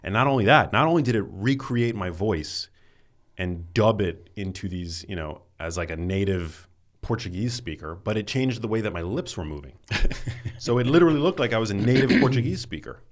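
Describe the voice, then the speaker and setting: Low-voiced male podcast host. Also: expressive, fairly quick. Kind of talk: casual interview conversation.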